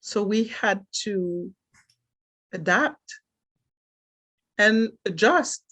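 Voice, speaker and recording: A middle-aged woman from Haiti whose first language is French, telling her life story as a podcast guest in a thoughtful way, with soft laughs between thoughts. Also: mid-range voice, expressive, drawn-out words. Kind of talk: reflective personal storytelling.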